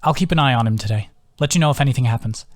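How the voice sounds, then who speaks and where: A man's voice: medium pitch, racing, no room sound, clean studio sound, close to an everyday voice. Man voicing a shrewd, musing male companion. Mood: practical.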